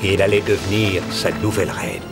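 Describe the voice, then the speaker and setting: A man narrating a royal fairy tale for a cartoon, with expressive scripted storytelling. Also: deep voice, fairly quick. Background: music.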